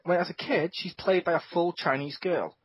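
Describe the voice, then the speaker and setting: A man's voice: sits high, normal speed, breathy edge, no room sound. A man hosting a podcast, chatting in a rambling, jokey way. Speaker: podcast host. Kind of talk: casual chat. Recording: dull lo-fi dry sound.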